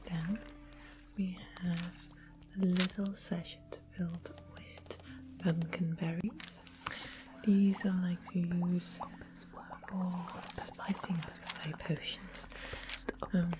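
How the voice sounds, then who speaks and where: A woman playing a gentle medieval fantasy witch in an ASMR roleplay, explaining things in a breathy whisper. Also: lowish voice, flat delivery, slow pace, over music, rough recording. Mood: hushed, explanatory. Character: soft-spoken medieval fantasy witch explaining potion ingredients.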